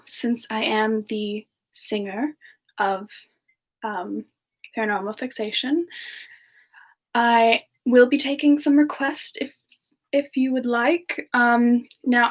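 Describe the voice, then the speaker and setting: A woman playing a sweet, bashful female demon singer, soft-spoken and hesitant, in a semi-ASMR livestream chat. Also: fairly high pitch.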